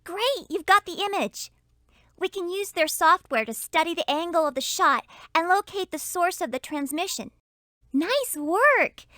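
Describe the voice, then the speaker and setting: A woman playing a brisk, encouraging young spy girl in an educational cartoon game. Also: extremely high pitch, lively intonation, pushed and bright, dry recording, studio quality, heavily acted.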